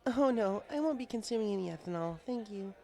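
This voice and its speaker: A man's voice: high voice, lively intonation, a little fast, gravelly, darkish tone, voice pushed up. A man voicing a bookish young female unicorn pony, prim, precise and socially awkward, in an audiobook. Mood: prim, surprised. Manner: prim, precise, slightly superior. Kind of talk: audiobook character voice.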